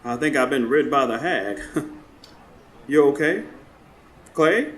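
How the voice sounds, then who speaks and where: Animated, teasing buddy. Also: male voice, medium pitch, slow pace, a little hiss or hum. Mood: amused, teasing. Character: teasing, jovial, worn-out male friend. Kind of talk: radio drama acting.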